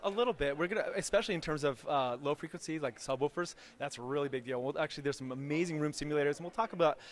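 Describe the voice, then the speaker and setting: An enthusiastic man with a fairly high voice talks very quickly. He explains technical things informally, as an expert guest being interviewed. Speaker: acoustics lecturer, interview guest.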